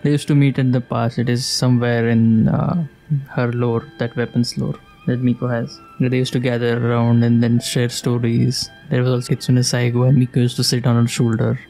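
A woman's voice: extremely low pitch, flat delivery, normal speed, dark tone, poor audio. A woman giving casual, giggly playthrough commentary, reacting aloud to a video game. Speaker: gaming streamer. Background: game music.

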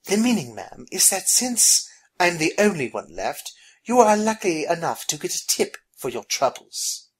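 A narrator voicing an eager, sharp-tongued lady in a storybook, haughty and emphatic. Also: medium pitch, very animated, measured pace, breathy edge, voice pushed up. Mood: dry, haughty.

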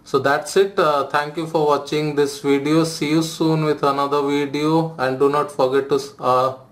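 A man's voice: a little high, moderate pace. A man hosting a phone how-to video, explaining steps with frequent "uh" fillers. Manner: hesitant, frequent "uh" fillers, flat delivery. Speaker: tech video host. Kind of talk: phone tutorial walkthrough.

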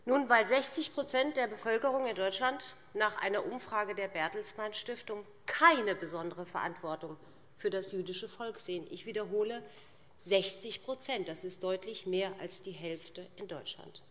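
A middle-aged woman delivers a formal political address from a lectern. Her pitch sits in the middle range and her phrasing is deliberate and emphatic. The large chamber adds a long reverb to her words. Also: pushed and bright. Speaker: parliamentary speaker for a party group. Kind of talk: formal prepared political speech. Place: large indoor parliament chamber. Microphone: lectern microphone.

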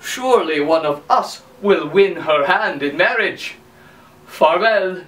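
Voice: mid-range voice, animated, measured pace, fairly bright tone. Storyteller putting on scoffing proud brothers, raised voice, echoey, distant mic. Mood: scornful. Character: mocking older brothers, proud young men.

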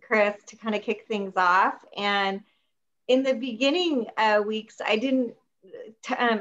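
A woman's voice: on the high side, highs cut off. Earnest middle-aged woman hosting a call. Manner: warm, earnest, grateful. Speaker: host. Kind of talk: hosting a video meeting. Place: home room indoors. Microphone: laptop webcam on video call.

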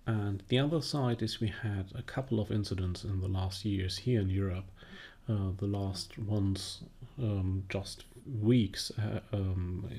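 A man who speaks English as a second language, commenting on a news clip with many halting fillers and restarts. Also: fairly low pitch, steady tone, warm tone. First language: not English. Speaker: video commentator. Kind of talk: casual spoken commentary.

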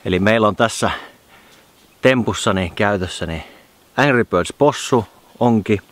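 A man's voice: on the low side, noisy background. A man in his thirties casually explaining his plan to the camera, recorded outdoors by a lake on a camera mic. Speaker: vlogger.

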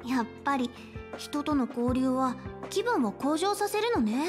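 A woman voicing a young, polite and caring space station chief in a Japanese game, speaking in a measured, articulate way. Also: high-pitched, expressive. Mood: calm, friendly. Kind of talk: Japanese game voice acting, explanatory dialogue. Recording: game dialogue over background music.

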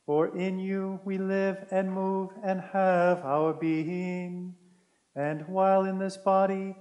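Solemn high-voiced priest at the altar. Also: male voice, a little mellow, muffled recording. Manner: measured, solemn intoning. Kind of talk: leading mass liturgy. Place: church sanctuary at the altar.

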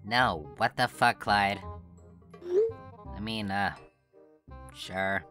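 Extremely low deadpan teen boy, played by a woman, forceful, grumpy, sarcastic, cartoon dub. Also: extremely slow, faint music behind, full character voice. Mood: annoyed. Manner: deadpan, blunt. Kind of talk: amateur cartoon comic dub.

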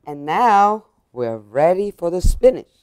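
A woman's voice: fairly low pitch, sing-song, a little slow, a little mellow, smooth, no echo. An older woman with an Italian accent, chatting casually while cooking, emphatic and prone to repeating herself. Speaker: cooking show co-host. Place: home-style kitchen, indoors.

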